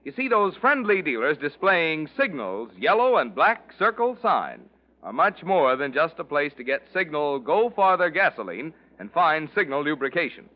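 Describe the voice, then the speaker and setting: A man's voice: on the high side, very animated, moderate pace. A man delivering a 1940s radio sponsor announcement with polished, emphatic salesmanship. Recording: dull 1940s radio recording.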